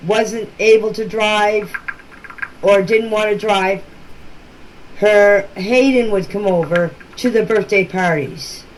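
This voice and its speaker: An amateur voice-message recording with faint noise carries a woman's mid-range voice. She explains things informally and deliberately. Her way of talking is plain-spoken and matter-of-fact. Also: medium pitch, low-quality recording.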